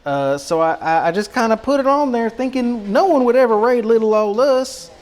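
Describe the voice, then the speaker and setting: A man putting on an American Southern country accent as a goofy, excitable countryman, rambling casually to a livestream chat in a folksy drawl. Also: extremely high pitch, normal speed, voice pushed up. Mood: excited, goofy. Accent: put-on American country, Southern. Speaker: live streamer cooking for chat.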